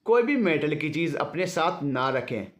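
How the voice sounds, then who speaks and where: Guy reading as a hospital nurse lady, polite, matter-of-fact, highish voice. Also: very animated, moderate pace, echoey room. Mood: instructing. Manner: courteous, instructing.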